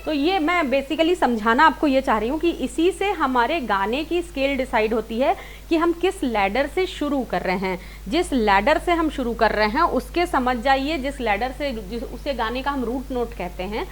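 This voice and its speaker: A woman giving an informal singing lesson, explaining step by step with repeated, rephrased points and English words mixed in. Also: fairly high pitch. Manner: mixes English words into talk. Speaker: singing teacher. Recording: clear background hiss and noise.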